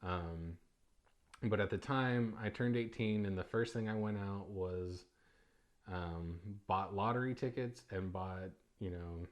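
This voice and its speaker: A man's voice: sits low, takes it slowly. A young adult man hosting a casual solo podcast, talking loosely with many ums, uhs and restarts. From United States. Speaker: podcast host.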